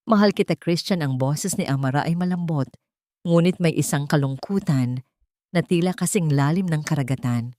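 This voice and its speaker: A woman storyteller narrating a scripted dramatic tale in a deliberate, emotive manner. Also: on the low side, darkish tone, no room sound.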